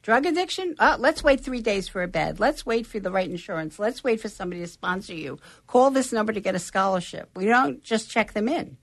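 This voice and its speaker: A woman chatting as a guest, telling personal stories in a lively, animated way. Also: mid-range voice, a little fast, few pauses. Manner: animated storyteller, shares personal anecdotes. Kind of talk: casual chat.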